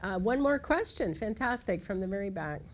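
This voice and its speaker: A woman's voice: mid-range voice, animated, normal speed. A woman moderating a conference panel, upbeat and enthusiastic as she hands questions to the panelists.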